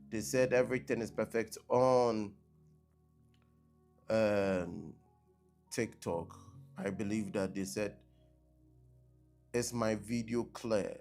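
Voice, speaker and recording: A man preaching on a live stream who repeats his blessings and keeps asking viewers whether he can be heard and seen clearly. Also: on the low side, a little mellow. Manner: repetitive, checking with online viewers. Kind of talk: live-streamed sermon opening. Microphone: laptop mic on a live stream.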